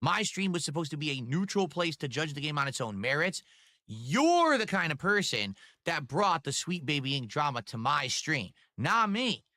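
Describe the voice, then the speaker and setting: A man's voice: on the high side, sing-song, normal speed, sharp tone, no room sound, studio quality. A man ranting angrily and defensively at his viewers from his home office, heard in a clip from a live stream. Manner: defensive, angry and profane rant. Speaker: gaming streamer.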